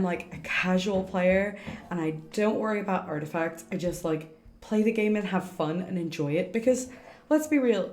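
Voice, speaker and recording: A woman's voice: on the low side, a little mellow. A young adult woman streaming a game, chatting casually in a rambling, informal way. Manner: rambling, informal, self-interrupting. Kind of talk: casual livestream chat while gaming. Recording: roomy echo, faint noise.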